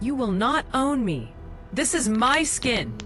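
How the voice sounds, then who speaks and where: A woman's voice: fairly high pitch, sing-song, takes it slowly, fairly bright tone, soft background music, heavily acted. A woman playing a defiant, suffering young queen in a fantasy audio drama, strained and emphatic.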